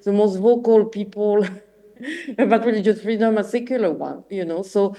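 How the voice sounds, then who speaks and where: A French-accented woman with a soft, mellow tone comments off the cuff in an academic discussion. She speaks haltingly, repeating words for emphasis as she builds her point. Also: medium pitch. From France.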